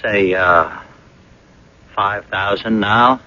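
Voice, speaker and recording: A man's voice: lowish voice, steady tone, very slow, bright, forceful tone, full character voice. An actor playing a broke, ailing blackmailer in his thirties, wheedling and insinuating in a radio drama. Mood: smug, greedy. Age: 30s. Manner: wheedling, insinuating, calls her doll. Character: broke, ailing 39-year-old blackmailing ex-boyfriend, slyly menacing. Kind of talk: 1980s radio drama acting. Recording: dull lo-fi old radio broadcast.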